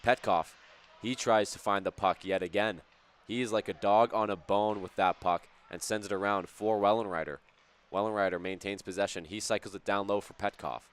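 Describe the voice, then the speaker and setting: Slightly hoarse hockey play-by-play man. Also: on the low side, fairly even intonation, moderate pace. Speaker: play-by-play commentator. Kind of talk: live hockey play-by-play commentary.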